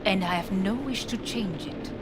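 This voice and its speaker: An actress playing a composed, calculating corporate heiress in a video game, with formal, measured, commanding speech. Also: female voice, mid-range voice, noisy background, close to an everyday voice. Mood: composed, guarded. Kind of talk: video game dialogue acting. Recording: music behind the voice.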